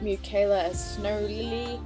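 A woman's voice: medium pitch, fairly even intonation, a little slow. A woman giving a chatty, enthusiastic, casual reaction commentary as host of her video. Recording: music behind the voice.

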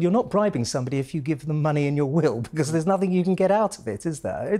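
Measured historian, mellow voice. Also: male voice, fairly high pitch. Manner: measured, hesitant, with many ums. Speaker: historian guest. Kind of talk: interview answers.

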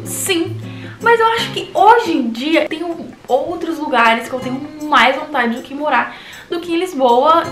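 Young woman, 18, Brazilian Portuguese accent, chatty Q&A vlog, fairly high voice, roomy echo, music underneath. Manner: chatty, many fillers like "tipo assim" and "entendeu?". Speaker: vlogger. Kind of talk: casual Q&A vlog.

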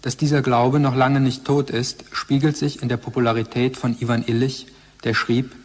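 An actor in a German radio play playing a learned lecturer reciting scholarly text in a formal, measured way. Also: male voice, medium pitch, flat delivery, natural voice. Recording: long hall-like reverb.

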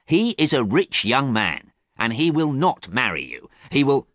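A man's voice: fairly low pitch, expressive, normal speed, fairly bright tone, no echo, studio quality, pitched above the performer's own voice. A man voicing a big, brooding sixteen-year-old brother in an audiobook, blunt, insistent and protective. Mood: angry.

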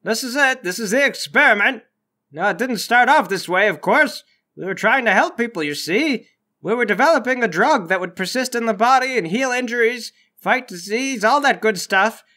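An exasperated mad scientist acted out by a man, high and bright in voice. He is callous and theatrical, with a whiny edge, in the manner of a tabletop game villain. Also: lively intonation, moderate pace, heavily acted. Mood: proud, explaining.

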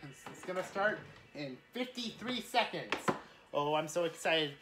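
Casting: woman, casual reaction commentator, slightly low voice, animated and rambling. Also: a little slow. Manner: excitable, rambling. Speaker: reaction video host.

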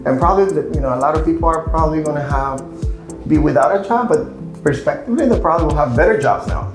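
A man's voice: on the high side, expressive, quick pace. A man in his thirties from Puerto Rico, a hairstylist chatting casually on camera, rambling and searching for words. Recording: roomy sound with music behind.

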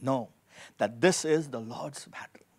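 A man's voice: medium pitch, measured pace, darkish tone, slightly rough. A man in his forties preaching a sermon as a pastor, reading scripture and repeating key phrases with emphasis into a handheld microphone. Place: indoor studio with dark backdrop, at a lectern.

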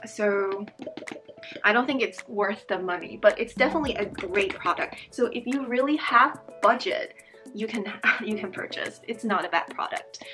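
A young woman talking casually to camera in her bedroom while doing her skincare, chatty and bubbly, her voice slightly hoarse. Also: mid-range voice, pushed and bright. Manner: chatty and bubbly, repeats words. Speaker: beauty vlogger and teacher. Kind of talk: casual get-ready-with-me chat. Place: bedroom with dresser and fairy lights. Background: background music.

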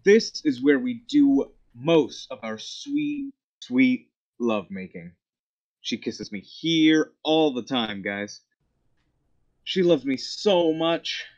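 Male caller, medium pitch, smarmy presenter patter, muffled low-quality voice chat. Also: expressive, takes it slowly, a little mellow. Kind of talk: casual call talk and vlog-style presenting. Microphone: voice chat call. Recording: dull lo-fi voice call.

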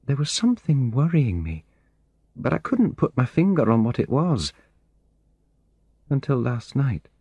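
Man voicing a distraught, shaky middle-aged woman, pitched high. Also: wildly swooping pitch, measured pace, pauses often, mellow, slightly rough, no room sound, lo-fi sound, full character voice. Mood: anxious, confiding. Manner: emotional, confessional, pleading.

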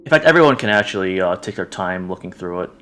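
A man's voice: low-pitched, expressive, fast talker, a bit bright, soft background music. A man acting as game master, casually narrating a tabletop game in an easygoing way.

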